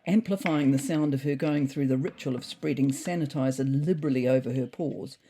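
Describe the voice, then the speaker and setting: A woman's voice: a bass voice, fairly quick, warm tone, slight background noise. A woman voicing a thoughtful, slightly neurotic cat reading out a comic diary, dry and mildly exasperated. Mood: dry, wry. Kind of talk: scripted comic diary narration.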